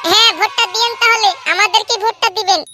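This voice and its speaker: An extremely high, squeaky rival campaigner in a comic cartoon. The figure taunts and bickers at a rapid pace. Loud noise and clicks run through the recording. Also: fairly even intonation. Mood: pushy. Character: feisty, squeaky rival party campaign worker. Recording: very noisy track with clicks.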